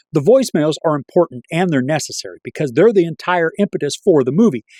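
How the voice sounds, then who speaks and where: A middle-aged man speaks as a reviewer in a somewhat high voice. His delivery is scripted and articulate, with wry opinions. The sound is dry, with no room echo. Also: darkish tone. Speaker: film reviewer and channel host. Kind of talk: scripted movie review to camera.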